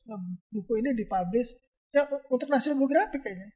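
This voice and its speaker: A man's voice: very high-pitched, a little fast, a little mellow. Brief: male photographer guest from Indonesia, casual, rambling and chatty with many fillers. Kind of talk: casual interview talk.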